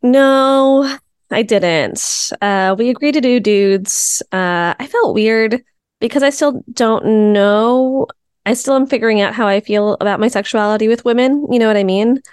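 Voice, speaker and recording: A woman's voice: on the high side, measured pace, darkish tone, clean tone, no room sound. 30s woman comic on a podcast, chatty, lots of ums, dry close mic. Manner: chatty, laughing easily, filler words. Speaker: comedian guest. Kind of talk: casual podcast chat.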